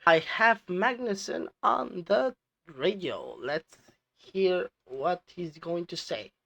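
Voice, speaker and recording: A man who is a non-native English speaker hosting casual commentary, explaining things in a halting, repetitive way. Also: high-pitched, big pitch swings, vocal fry, sharp tone, dry recording. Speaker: host reviewing team radio clips.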